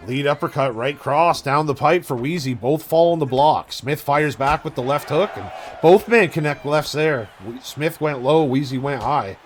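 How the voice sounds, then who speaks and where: A man giving play-by-play commentary on video game fights, calling each strike quickly and casually. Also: fairly high pitch, a little hiss or hum. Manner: rapid blow-by-blow calling.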